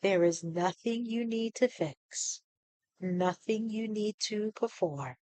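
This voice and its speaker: A woman leading a guided meditation in a soothing, deliberate manner, leaving pauses between short phrases. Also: medium pitch, darkish tone, no echo, low-quality recording.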